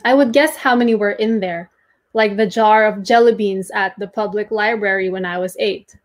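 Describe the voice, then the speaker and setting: A young adult woman giving a dramatic reading of first-person prose in a measured, deliberate storytelling manner, recorded indoors at home. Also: mid-range voice. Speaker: performer reading a literary piece. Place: small domestic room, indoors.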